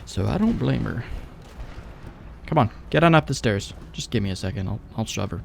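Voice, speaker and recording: A man's voice: lowish voice, animated, moderate pace, creaky, slight background noise. A young man giving rambling, laid-back, sweary let's play commentary as he games. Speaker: gaming YouTuber, let's play host.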